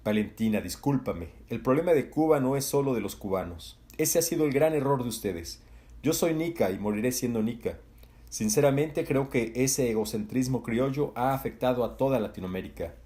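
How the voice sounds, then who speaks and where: A man voicing a charming, refined mercenary who speaks smoothly and diplomatically. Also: medium pitch, animated, normal speed, close to an everyday voice. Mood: lecturing. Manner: smooth, diplomatic, gently lecturing.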